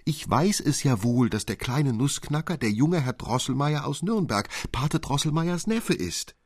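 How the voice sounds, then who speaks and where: A man's voice: medium pitch, animated, a little slow, a little mellow, slightly rough, no echo, clean studio sound, voice pushed up. A man voicing an eager, dreamy little girl in a storybook, full of wonder and earnest insistence. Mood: excited, earnest.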